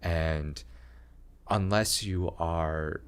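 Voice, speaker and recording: A young man talking slowly in a low voice with long pauses, chatting as a guest about his art and career. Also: clear, resonant tone. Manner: slow, low-voiced, pausing talk. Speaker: comedian and graphic design teacher, guest. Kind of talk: casual interview chat.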